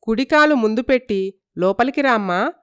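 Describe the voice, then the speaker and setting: A woman voicing an excited, doting middle-aged mother-in-law in a cartoon story, gushing and fussy. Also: a little high, normal speed, clean tone. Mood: delighted, fussing.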